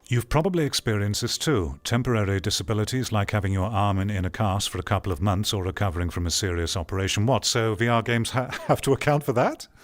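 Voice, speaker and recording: A man giving opinionated commentary on an article, reading parts aloud and reacting in a blunt, dismissive, argumentative way. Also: lowish voice. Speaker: video commentator.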